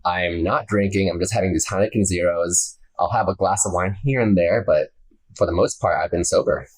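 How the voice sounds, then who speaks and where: A man's voice: sits low, brisk, husky, poor audio. A man who is a podcast guest, chatting earnestly and laughing easily. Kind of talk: casual chat.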